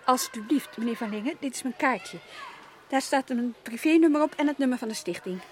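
Een actrice die in een hoorspel een beleefde maar volhardende maatschappelijk werkster speelt. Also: female voice, on the high side, expressive, brisk, a little mellow, slight background noise, close to an everyday voice. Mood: insistent. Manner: courteous, insistent. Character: polite, persistent female social worker. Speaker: radio drama actor. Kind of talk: Dutch radio play dialogue.

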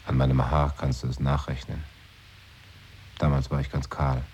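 A brooding man in a radio play, his voice sitting at the very bottom of the range. He muses slowly and often slips into a hushed whisper. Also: a bass voice, completely flat delivery, dull audio, a little hiss or hum. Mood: wistful. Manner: musing, often drops to a whisper. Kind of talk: 1980s radio play acting.

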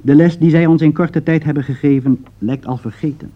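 A man from the Netherlands with a Dutch accent reads formal, measured and emphatic scripted political commentary in 1960s radio style. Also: fairly high pitch, fairly even intonation. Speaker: radio documentary narrator. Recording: dull old radio recording.

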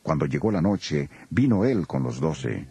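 A man's voice: very deep voice, very animated, measured pace, creaky, mellow. A man narrating a dramatized scripture recording as a solemn storyteller.

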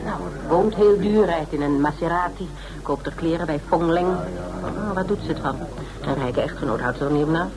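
An actress in a Dutch radio drama playing a brisk, scheming woman sleuth who gives orders breezily and matter-of-factly. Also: sits low, close to an everyday voice. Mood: gossipy. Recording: noisy old radio play recording.

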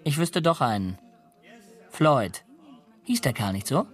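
An actor playing a brooding, superstitious and pedantic criminal drifter in a radio drama, intense and uneasy. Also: male voice, medium pitch, sing-song, slow pace, soft background music. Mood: coolly pointed.

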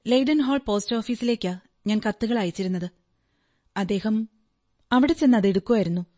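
A woman's voice: mid-range voice, moderate pace, dark tone, natural voice. A woman acting in a radio drama as an earnest, trusting young client, politely recounting her story in detail. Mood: earnest, troubled. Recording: radio drama with background music. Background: music.

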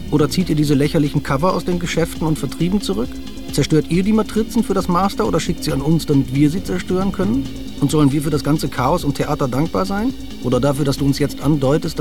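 Indignant activist, higher-pitched. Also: male voice. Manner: accusing, rhetorical questioning. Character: indignant male activist writing an angry email. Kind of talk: scripted radio drama reading.

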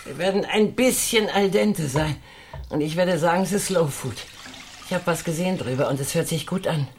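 A woman's voice: fairly low pitch, normal speed, darkish tone, a little hiss or hum, natural voice. An actress playing a whimsical, argumentative woman who philosophizes in a wordy, declamatory way in a German radio drama. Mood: wry, playful.

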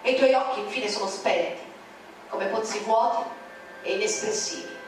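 A woman's voice: mid-range voice, moderate pace, slight background noise. A woman narrator reciting a poem in a declamatory, indignant manner. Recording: very echoey, distant, music behind.

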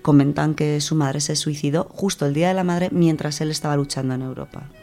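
A woman's voice: low-pitched, steady tone, brisk, music in the background. A woman with an accent from Spain gives an informal radio talk in a conversational way, repeating words as she goes. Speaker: radio book-segment contributor. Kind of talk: informal spoken presentation.

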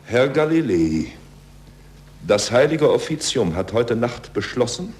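An actor playing an urbane, authoritative, eloquent cardinal in a 1940s German radio play, on an old recording. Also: male voice, medium pitch, sing-song, unhurried, a little mellow. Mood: grave, admonishing. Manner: eloquent, rhetorical. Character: urbane, worldly cardinal, courteous yet firmly authoritative.

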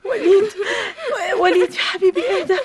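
A woman's voice: very high voice, steady tone, brisk, over a noisy crowd. A distraught Egyptian mother. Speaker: mother, a doctor.

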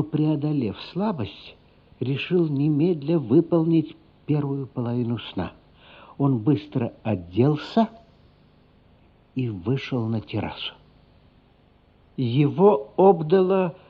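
A man narrating a literary radio play in a measured, suspenseful, dramatic storytelling manner. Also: mid-range voice, breathy edge. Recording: dull, band-limited radio play recording. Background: music.

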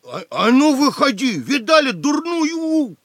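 An actor playing a gruff, dismissive taxi driver in a radio play, scolding in colloquial speech. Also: male voice, extremely high pitch, big pitch swings, a little slow, very noisy, exaggerated voice. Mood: gruff, annoyed.

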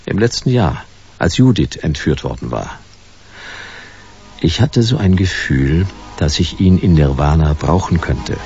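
A man's voice: extremely low pitch, expressive, a little slow, darkish tone, muffled recording, faint music behind, heavily acted. An actor playing a stubborn, hard-boiled private detective narrating his case laconically in a noir radio play. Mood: laconic, brooding. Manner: laconic, dry, clipped sentences.

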